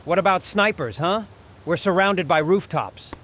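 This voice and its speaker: Man as military sergeant, high voice, gruff, curt, commanding, audio comic drama, clear background noise. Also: moderate pace, fairly bright tone. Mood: angry, barking. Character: gruff, wary army sergeant leading a survivor convoy.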